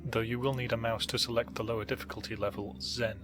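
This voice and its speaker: A man giving scripted, measured instructional narration as he explains how a game is played. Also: mid-range voice, steady tone, over music. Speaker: narrator of a gaming tutorial.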